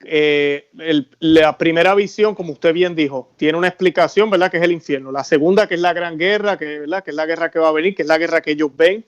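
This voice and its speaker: A man's voice: on the high side, fairly quick, hardly any pauses, highs cut off. A man hosting an interview, recapping points for viewers and checking in with tag questions. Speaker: host.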